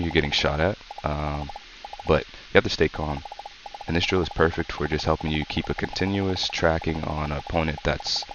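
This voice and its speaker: Very deep-voiced man with a sore throat. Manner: calm, slow, soft-spoken coaching. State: sick with a sore throat, speaking softly. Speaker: gaming channel host. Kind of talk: casual tutorial commentary. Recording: dull lo-fi mic with faint hiss.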